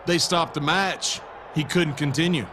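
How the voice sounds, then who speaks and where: A man playing a pompous, scornful villain wrestler who hosts a talk show in a video game, speaking in emphatic, deliberate promo style. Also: on the high side, steady tone, bright, forceful tone, slight background noise. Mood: smug.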